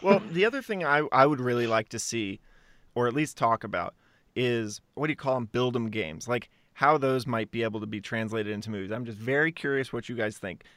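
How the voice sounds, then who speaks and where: A man's voice: medium pitch, brisk, a bit bright, no room sound. A man co-hosting a casual podcast discussion, thinking aloud in a conversational way. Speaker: podcast co-host.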